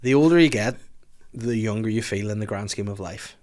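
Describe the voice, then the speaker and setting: Male host, 30s, lower voice, jokey and sweary. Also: brisk, darkish tone. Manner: jokey, swears freely, acts out quotes. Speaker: podcast host. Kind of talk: casual chat.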